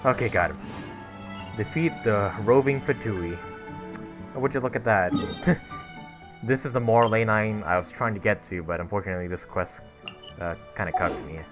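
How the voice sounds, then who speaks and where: A man's voice: fairly low pitch, normal speed, pauses often, music in the background. A young man casually commentating over a game he is playing, rambling with lots of fillers and snarky asides. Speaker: gameplay commentator. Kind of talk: casual let's-play commentary.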